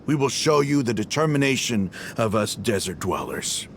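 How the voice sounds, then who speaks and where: An actor voicing a proud, earnest, hard-bitten desert mercenary leader in a dramatic video game scene. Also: male voice, medium pitch, sing-song, moderate pace, a little hiss or hum. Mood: solemn, determined.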